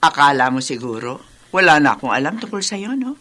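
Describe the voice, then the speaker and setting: A sharp, forceful older Filipino woman, a resentful mother-in-law whose delivery swings widely in pitch. She is biting and sarcastic, heaping reproach on whoever she addresses. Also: very animated, brisk, heavily acted. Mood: sarcastic, cold. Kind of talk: radio drama acting.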